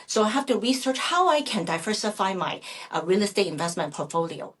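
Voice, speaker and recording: A middle-aged woman, a native Chinese speaker raised in Canada, answering interview questions conversationally in a halting, self-correcting way. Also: mid-range voice, sing-song, slightly rough. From Vancouver, Canada. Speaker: real estate agent guest.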